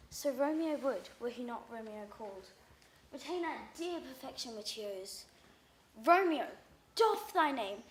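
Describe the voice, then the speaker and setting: A woman's voice: high-pitched, a bit bright, a little hiss or hum. A woman voicing an earnest young heroine in a Shakespeare recitation, emphatic and heartfelt. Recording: echoey room.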